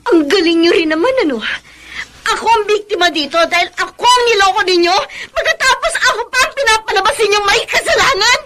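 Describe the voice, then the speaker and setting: Anguished, very high-voiced betrayed Filipina. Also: female voice, animated, quick pace, sharp tone, a little hiss or hum, heavily acted. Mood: outraged, hurt. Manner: emotional, melodramatic. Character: betrayed young woman, anguished, bitter, heartbroken. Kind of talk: Filipino radio drama acting. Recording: music bed under the voice.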